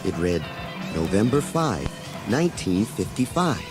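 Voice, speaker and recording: A man narrating a children's read-along story in a dramatic, expressive storytelling manner. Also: fairly low pitch, a little slow, music in the background.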